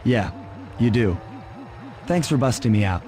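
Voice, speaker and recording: An actor playing a cocky young raccoon master thief in a video game, smooth and easygoing. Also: male voice, medium pitch, animated, measured pace, lightly acted. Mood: teasing. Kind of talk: animated video game cutscene acting. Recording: loud music under the voice. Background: game music.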